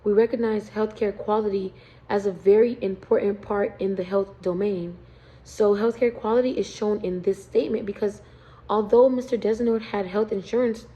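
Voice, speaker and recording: A young woman, a student from the United States, reading a prepared class presentation in an earnest, steady tone. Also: mid-range voice, rough recording.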